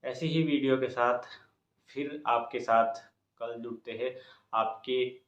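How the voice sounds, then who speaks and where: A man's voice: medium pitch, steady tone, slow. A man giving students an informal exam update in a repetitive, encouraging manner. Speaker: exam coaching YouTuber and host. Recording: echoey room, dull muffled sound.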